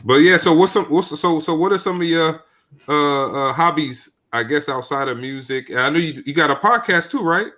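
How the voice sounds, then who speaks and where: A man's voice: a little high, normal speed, sharp tone. A young man co-hosting a podcast, talking loosely with slang and lots of restarts. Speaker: podcast co-host. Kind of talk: casual chat.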